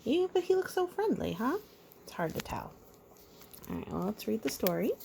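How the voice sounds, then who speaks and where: A woman reading a children's picture book aloud, playful and sing-song, pausing for asides and counting as if talking to small children. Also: on the high side, sing-song, gravelly, warm tone, a little hiss or hum.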